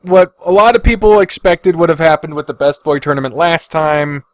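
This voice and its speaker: A man's voice: a little high, steady tone, normal speed, dry recording. A man hosting a casual podcast on his own, thinking aloud in a hesitant, deliberating way and swearing freely. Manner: hesitant and deliberating, sighing, profane. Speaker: podcast host.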